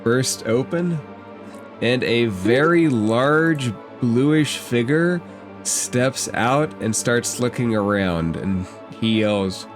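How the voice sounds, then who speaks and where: A man's voice: medium pitch, lively intonation, slow pace, music in the background. A man casually narrating a tabletop game as game master and asking players what they do.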